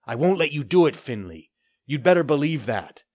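A man voicing a young pirate boy who speaks tensely and urgently, raised in pitch, in a storybook reading. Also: big pitch swings, normal speed, darkish tone, dry recording, clean studio sound. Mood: defiant.

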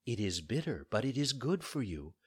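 Guy doing a kind fairy lady from a storybook, soft and raised up, patient and coaxing. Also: on the high side, sing-song, unhurried, voice pushed up. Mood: gentle coaxing. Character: gentle, patient, motherly female fairy.

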